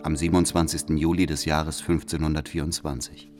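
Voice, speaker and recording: An actor playing a secretive informant who reads out a warning letter in a solemn, deliberate, formal way for a radio drama. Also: male voice, a bass voice, flat delivery. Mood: urgent, solemn. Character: secretive male informant reading his urgent warning letter. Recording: music behind the voice.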